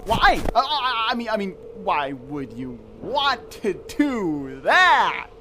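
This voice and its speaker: Jittery, high-voiced, stammering boy. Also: very animated, slow, noisy background, exaggerated voice. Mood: nervous, evasive. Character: nervous, awkward, secretive boy scavenger. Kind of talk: audio drama acting.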